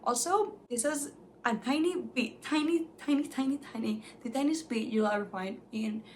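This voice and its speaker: A young woman whose first language is Spanish, chatting casually about books she has read, animated and full of "like"s. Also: on the high side, normal speed, a little hiss or hum. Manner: chatty and animated, many "like"s, light laughs. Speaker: book reviewer. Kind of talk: casual chat to camera.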